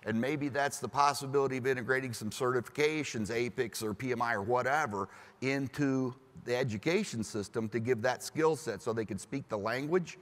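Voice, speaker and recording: A chatty man on a business panel, his voice mid-pitched. He laughs easily and acts out little quotes. A long hall reverb trails his words. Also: brisk, fairly bright tone. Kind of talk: panel discussion.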